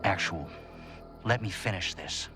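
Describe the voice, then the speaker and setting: A man's voice: deep voice, lively intonation, a little slow, pushed and bright, soft background music, heavily acted. A man playing a cocky, menacing American military commander, folksy and taunting, with an American accent. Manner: folksy, taunting, foul-mouthed.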